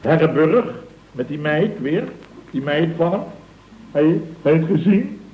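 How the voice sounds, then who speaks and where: A self-righteous, blustering male contractor boss in a radio comedy. He speaks in clipped, repetitive bursts with a very animated pitch. The old recording is echoey and dull, with slight noise. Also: unhurried. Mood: gossipy, amused. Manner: pompous, exclamatory, repeats himself in clipped bursts. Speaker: actor in a radio comedy serial. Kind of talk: 1970s Dutch radio comedy acting.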